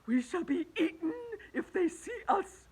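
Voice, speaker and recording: A woman voicing a timid, fearful male earthworm who is blind, in a shrill, wailing voice for a storybook read-aloud. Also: flat delivery, takes it slowly, fairly bright tone. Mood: panicked scolding.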